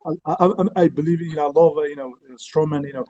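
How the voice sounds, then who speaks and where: Man, North African French accent, mellow tone, halting non-native English, casual guest chat, dull lo-fi stream audio. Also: fairly high pitch, fairly even intonation. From Algeria. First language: not English. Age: middle-aged. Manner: halting, repeats words and says "you know" constantly. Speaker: guest, strongman athlete and promoter.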